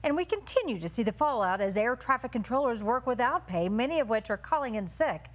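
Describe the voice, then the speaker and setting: A woman's voice: fairly high pitch, sing-song, a little fast. A woman with an American accent reading scripted news as a television anchor.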